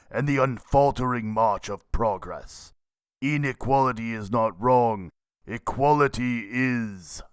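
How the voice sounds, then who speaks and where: A man's voice: on the low side, a bit bright, no echo, studio quality, lightly acted. A man voicing a proud, tyrannical anime emperor in pompous, grandiose oratory.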